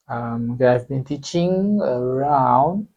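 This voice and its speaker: A man's voice: mid-range voice, animated, slow, muffled recording. A man who is a language lecturer, answering interview questions hesitantly and correcting himself as he goes.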